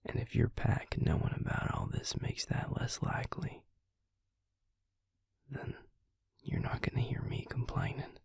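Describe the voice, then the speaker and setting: Man playing a hushed, gravelly, protective vampire lover. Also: medium pitch, animated, measured pace, pauses often, dry recording, clean studio sound, full character voice. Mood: tender, protective. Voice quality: husky, breathy, gravelly near-whisper. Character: protective, devoted male vampire lover, gentle and patient. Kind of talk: intimate romantic audio roleplay.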